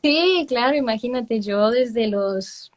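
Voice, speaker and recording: A woman's voice: on the high side, expressive, takes it slowly. A young woman with a Mexican accent, a guest telling stories in a casual interview. She laughs often and drops in English words. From Miami, with long stays in Mexico.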